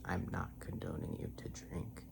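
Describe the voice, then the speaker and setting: A soft-spoken ASMR creator rambles playfully in a breathy, often whispered voice, close to the microphone, sometimes in a mock-grandiose way. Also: normal speed.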